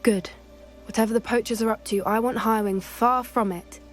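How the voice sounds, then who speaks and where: A woman's voice: a little high, fairly even intonation, normal speed, soft background music, lightly acted. An actress playing an earnest, protective young witch student, in video game dialogue. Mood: worried earnest. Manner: earnest, protective, quietly worried.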